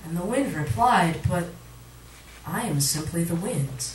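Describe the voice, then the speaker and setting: A woman reciting poetry at a live reading, with dramatic, deliberate phrasing. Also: lowish voice, lively intonation. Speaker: poet, featured reader. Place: literary cafe, indoors, live reading. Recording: echoey room recording from far off, audience noise. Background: cafe audience sounds.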